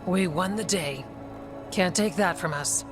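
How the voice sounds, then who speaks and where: Woman as loyal fantasy warden, sturdy, plain-spoken, deep for a woman, game music behind. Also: measured pace, lightly acted. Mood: steady, proud. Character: loyal, steadfast warden woman, a seasoned fighter. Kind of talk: video game dialogue.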